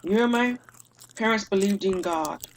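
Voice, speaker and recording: A woman's voice: medium pitch, a little slow, a little hiss or hum, close to an everyday voice. Woman playing a reserved, skeptical husband. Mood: reserved, doubtful. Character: reserved, skeptical adult husband in audio drama.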